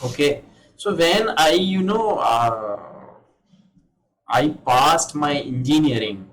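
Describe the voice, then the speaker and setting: A man's voice: a little high, expressive, slow, fairly bright tone. A man in his fifties from India with an Indian accent, giving a patient one-on-one English lesson over a video call. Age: 50s. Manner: patient, repeats and checks understanding. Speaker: English teacher. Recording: echoey, noisy video call.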